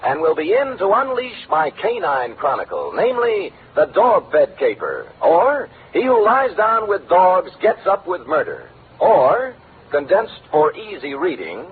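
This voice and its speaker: An actor playing a breezy, wry, wordy, hard-drinking private detective in a 1940s radio drama. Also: male voice, high voice, wildly swooping pitch, measured pace, phone-quality sound, heavily acted. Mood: tipsy, teasing. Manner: wry, glib, theatrically wordy. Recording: thin band-limited old radio recording, faint noise.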